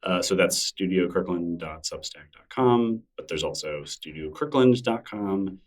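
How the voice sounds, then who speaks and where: A man's voice: deep voice, lively intonation, a little slow, recorded from a distance. A man guest talking casually and enthusiastically in a library room, rambling with many fillers. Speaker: interview guest. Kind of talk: casual conversation. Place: public library room with a conference nearby.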